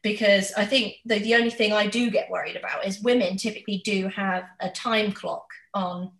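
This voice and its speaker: Bright, echoey young woman chatting candidly. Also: mid-range voice, fairly even intonation, normal speed. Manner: chatty, candid, acts out others' questions. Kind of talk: casual conversational chat. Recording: roomy, echoey sound.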